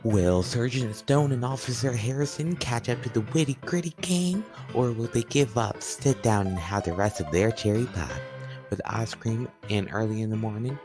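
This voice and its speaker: A student performer playing an excitable announcer for an old-time radio serial. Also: medium pitch, normal speed, close to an everyday voice. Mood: dramatic pitch. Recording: school radio play with music behind.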